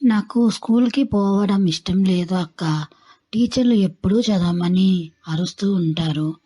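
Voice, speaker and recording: A woman's voice: mid-range voice, unhurried, mellow, slightly rough. A woman playing a stubborn, lazy young schoolgirl in a children's story, with a whiny, pleading manner.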